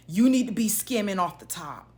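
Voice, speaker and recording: A woman's voice: mid-range voice, big pitch swings, normal speed, a little mellow. A woman giving an opinionated monologue to viewers, blunt and emphatic, posing pointed rhetorical questions as she goes. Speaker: social media commentator.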